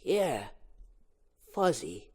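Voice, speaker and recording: A man voicing a cool cartoon bee who leads a squad of jocks, brisk in manner. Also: a little high, sing-song, slightly rough, pitched below the performer's own voice, exaggerated voice. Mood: casual. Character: Jackson, male pollen jock bee, cool squad leader.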